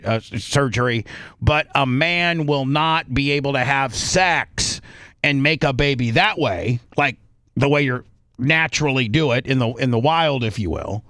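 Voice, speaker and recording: Man explaining things in halting, repetitive bursts. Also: medium pitch, a bit bright, clear, resonant tone, no room sound. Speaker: radio show host. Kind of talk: casual talk radio commentary.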